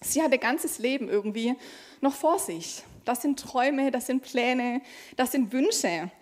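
A woman's voice: high-pitched, measured pace. A young woman from Germany, a trainee preacher, reading scripture and then preaching in a conversational way with fillers.

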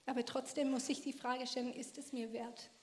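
High-voiced woman, earnest testimony. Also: level tone, fairly quick, darkish tone. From Australia. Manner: earnest and emphatic, in non-native German with loose grammar. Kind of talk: passionate personal testimony talk.

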